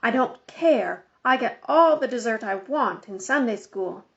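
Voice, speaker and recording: A woman's voice: a little high, animated, a little slow, highs cut off. A woman voicing a blunt, defiant little schoolboy in an audiobook, speaking emphatically. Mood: fed up.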